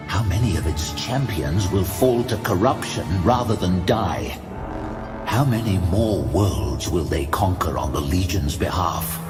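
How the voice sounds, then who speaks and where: An actor playing a sly, defiant orc warlock in a fantasy audio drama, gravelly, measured and mocking. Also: male voice, low-pitched, expressive, over music. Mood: dark ambition.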